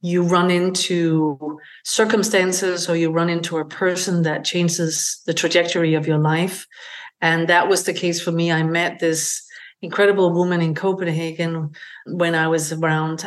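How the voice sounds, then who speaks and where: A middle-aged woman tells her story as a podcast guest. Her voice sits a little low, and she talks in a gentle, reflective way, pausing as she looks for the right word. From Denmark. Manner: reflective, gentle, searching for words. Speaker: guest, beauty brand founder. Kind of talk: interview conversation.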